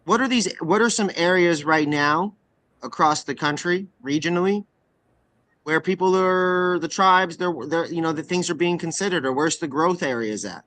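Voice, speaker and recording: A man's voice: fairly high pitch, normal speed, a bit bright. A man from the Pacific Northwest hosting a podcast, asking long, rambling questions with enthusiasm. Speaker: podcast host. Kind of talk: conversational podcast interview.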